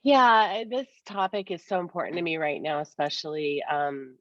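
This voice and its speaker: Low-voiced, slightly congested woman podcaster. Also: expressive, moderate pace. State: slightly congested from a cold-like illness. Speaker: podcast co-host. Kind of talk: conversational podcast talk. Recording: dull, roomy, echoey sound.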